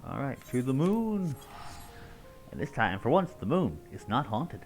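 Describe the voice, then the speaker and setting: A man's voice: mid-range voice, very animated, a little slow, soft background music. A man streaming a game, commenting casually and playfully as he reacts aloud. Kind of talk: casual live gameplay commentary.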